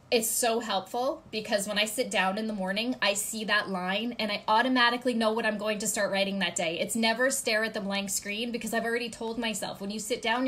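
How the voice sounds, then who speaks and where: A woman in her thirties with a congested voice, giving casual writing tips to camera from her home office, chatty and full of ums and quick check-ins. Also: mid-range voice, fairly bright tone. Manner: chatty, gestures a lot, many ums and "okay?" check-ins. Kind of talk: casual instructional vlog to camera. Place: home office with ceiling fan, indoors.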